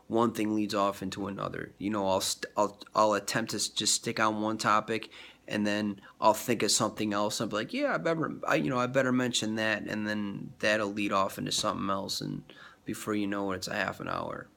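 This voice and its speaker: A man's voice: on the low side, steady tone, brisk, vocal fry, pushed and bright. A man with a cold talking in a rambling vlog about faith, full of fillers and restarts, sniffly and congested. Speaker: vlogger. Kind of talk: rambling religious vlog talk.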